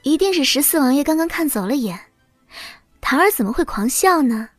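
High-voiced young princess consort, a woman acting demure. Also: lively intonation, no room sound, faint music behind. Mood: feigned innocence. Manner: polite, unhurried, slyly nonchalant. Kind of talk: Mandarin audio drama acting.

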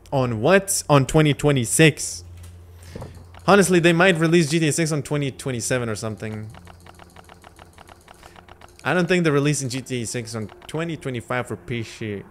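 A man's voice: mid-range voice, slight background noise. Young man streaming games, rambling slangy chat. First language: Lithuanian. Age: young adult. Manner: rambling, slangy, answers chat between game moves. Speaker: livestreamer. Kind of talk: casual livestream chat while gaming. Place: home streaming room, indoors. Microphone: headset.

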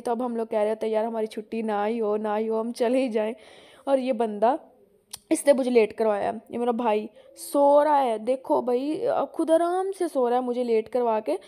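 A young woman, speaking a little higher than most women. She chats loosely and rambles with plenty of fillers, laughing here and there. It is recorded on a phone held as she walks outdoors and around her home. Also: steady tone, normal speed, mellow. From Pakistan. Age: young adult. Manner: chatty, rambling, frequent "jo hai na" fillers, laughs often. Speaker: vlogger. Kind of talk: casual walking vlog narration. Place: outdoors on village roads, then at home. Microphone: phone camera held while walking.

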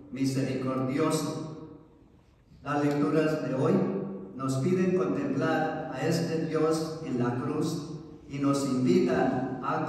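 A man preaching as a priest at Mass in a solemn, measured way, in a large church. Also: a little high, a little mellow. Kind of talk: sermon, reading and preaching. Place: large church interior, standing at the altar. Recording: echoey church hall, from far off.

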